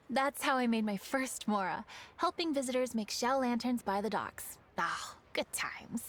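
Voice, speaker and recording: A woman's voice: a little high, slight background noise, natural voice. An actress playing a kind, motherly young woman who makes lanterns, in a video game. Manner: warm and motherly toward the child. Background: game music.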